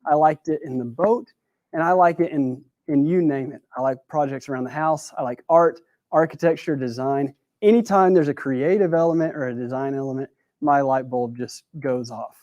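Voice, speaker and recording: A man with a West Texas drawl tells a folksy, joking story from a stage mic. His voice sits a bit high for a man, and the sound is close and dry, with little room around it. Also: moderate pace, darkish tone, no room sound, lo-fi sound. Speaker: student speaker. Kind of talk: prepared stage talk. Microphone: handheld or stand mic on stage.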